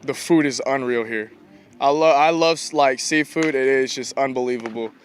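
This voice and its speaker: A young man, a college ballplayer giving casual, informal interview answers with halting repetitions. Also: medium pitch. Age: young adult. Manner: informal, halting, repeats himself. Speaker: college baseball player being interviewed. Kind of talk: casual post-game style interview answers. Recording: clear outdoor ambient noise.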